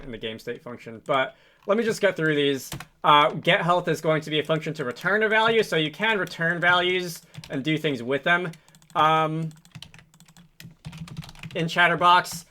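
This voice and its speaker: A man hosting a casual programming tutorial, thinking aloud in a halting, hesitant way as he works through code on screen. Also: fairly high pitch, sharp tone. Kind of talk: casual screen-recorded coding walkthrough.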